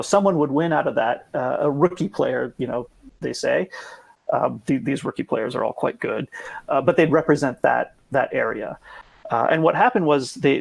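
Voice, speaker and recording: Gravelly man explaining things casually. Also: medium pitch, no room sound, rough recording. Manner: rambling, hedging explainer. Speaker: podcast guest explaining esports. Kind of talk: casual explanatory podcast talk.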